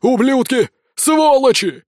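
Guy doing a nasty suspicious village headman, high pitched, riling up the crowd, fantasy audiobook. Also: expressive, moderate pace, dark tone, no room sound, studio quality. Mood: furious. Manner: accusing, rabble-rousing. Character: suspicious, spiteful male village elder rousing a mob.

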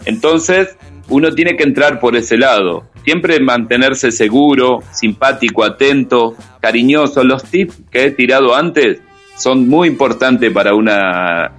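A man co-hosting a comedic radio talk show, speaking. He lectures in lists, with an air of mock authority, at a middle pitch. Faint music plays underneath. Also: a little slow, fairly bright tone. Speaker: radio show co-host giving dating tips.

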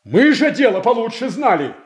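An actor playing a proud, indignant prince in a classical radio tragedy, declaiming with blunt, weighty deliberation. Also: male voice, extremely high pitch, very animated, moderate pace. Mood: indignant, accusing. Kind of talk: classical Russian stage tragedy acting for radio.

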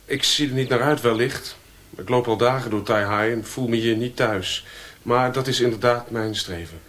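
Actor as wary traveller, distant mic. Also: male voice, mid-range voice, normal speed, fairly bright tone, close to an everyday voice. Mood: wary, hesitant. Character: wary, hesitant male traveller, out of place. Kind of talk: radio drama acting. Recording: distant mic with faint ambient noise.

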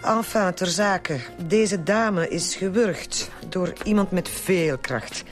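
An actress with a Flemish accent playing a brisk, teasing forensic doctor in a radio drama. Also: female voice, on the low side, soft background music. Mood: clinical.

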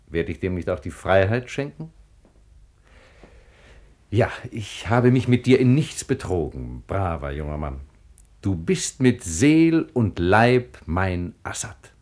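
An actor playing a magnanimous, fatherly sultan with a regal, measured delivery in a 1950s German radio play. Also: low voice, big pitch swings, darkish tone, exaggerated voice. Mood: gracious.

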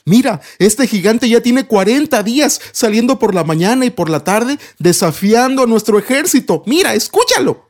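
A man doing a frantic, high-voiced soldier. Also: very animated, normal speed. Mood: alarmed. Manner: shouting, breathless urgency.

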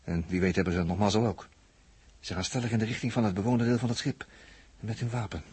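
A captive spaceman with a very husky, lowish voice and a Netherlands Dutch accent. He is shrewd and sure of himself, laying things out with deliberate emphasis. The style is early sixties radio science fiction. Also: fairly even intonation, darkish tone. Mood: intrigued. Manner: deliberate, emphatic, with repeated phrases. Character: Jaap, captive spaceman, shrewd, confident, talkative commentator. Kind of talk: early 1960s Dutch radio drama acting. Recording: vintage 1960s radio recording.

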